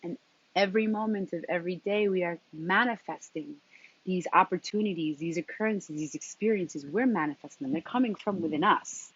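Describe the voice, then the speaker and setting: A woman speaking as a spiritual coach in a motivational talk, deliberately, in short phrases with emphatic repetition and a husky voice. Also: on the low side, fairly bright tone. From New York, of Colombian background. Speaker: transformative coach and intuitive guide. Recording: dull, lo-fi sound.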